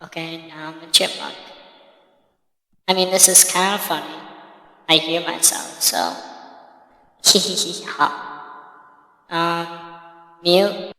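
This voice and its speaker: A man through a high chipmunk voice filter. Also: animated, slow, pauses often, sharp tone, echoey room, in a large hall, heavily acted. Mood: amused. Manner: amused, giggly. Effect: pitch-shifter raising the voice.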